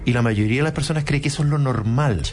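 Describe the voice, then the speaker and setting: A Chilean man in his sixties, speaking emphatically and deliberately as a radio panelist. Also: medium pitch. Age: 60s. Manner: emphatic, deliberate, stretches words.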